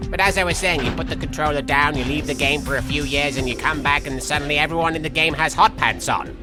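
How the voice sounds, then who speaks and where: A flamboyant, self-absorbed young male cartoon villain, put on by a man for comic game commentary. The delivery is whiny and theatrical, full of absurd rambling asides. Game music plays clearly underneath. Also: fairly high pitch, fast talker, close to an everyday voice. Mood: rambling, silly. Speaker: in-character let's play host. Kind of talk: comedic video game commentary in character.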